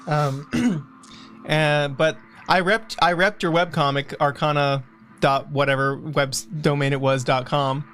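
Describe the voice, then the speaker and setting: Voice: medium pitch, steady tone, a little slow, fairly bright tone, slight background noise. A livestream co-host chatting casually, rambling and often repeating phrases.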